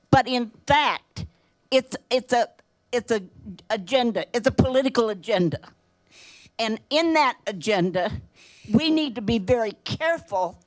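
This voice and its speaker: An older woman with a fairly high voice addresses a public meeting. She speaks very slowly and earnestly, pressing her points in short, emphatic phrases. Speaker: public commenter at a council meeting. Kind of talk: impassioned public comment speech.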